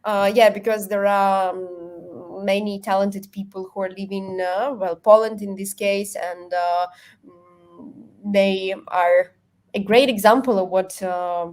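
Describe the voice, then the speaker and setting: A woman with a Ukrainian accent answering interview questions in English, measured and thoughtful, with frequent ums. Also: medium pitch, steady tone, a little mellow. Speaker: guest.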